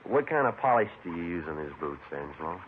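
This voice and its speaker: An actor playing a terse, matter-of-fact police sergeant who narrates and questions in an old radio crime drama. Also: male voice, sits low, very animated, quick pace, slight background noise. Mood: probing. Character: terse, businesslike male police sergeant narrating his case. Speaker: lead detective and narrator. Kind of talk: clipped procedural radio drama narration and dialogue. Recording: tinny vintage radio recording.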